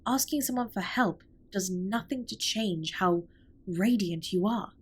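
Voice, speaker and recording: A woman performs an alien spaceship captain in a comfort roleplay audio drama. The voice is husky and breathy at a medium female pitch. The delivery is formal and measured, with precise wording and an earnest, protective care for the listener. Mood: firm, reassuring.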